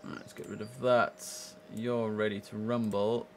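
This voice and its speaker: A man's voice: on the low side, level tone, unhurried, slight background noise. A young man chatting casually and easily as he hosts a live gaming stream, speaking into a headset.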